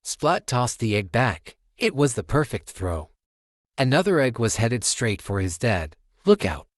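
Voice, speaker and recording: Unhurried, animated male storybook narrator. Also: mid-range voice, no echo, clean studio sound. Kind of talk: expressive children's storybook narration.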